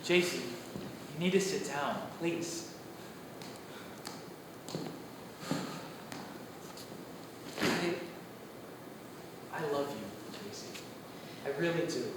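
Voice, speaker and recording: A man's voice: fairly high pitch, expressive, slow, pauses often. An actor playing an awkward man in love who stammers and hesitates, heard distant in a roomy echo with background noise. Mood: tender. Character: awkward man in love, writer of her program. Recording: distant, echoey, noisy.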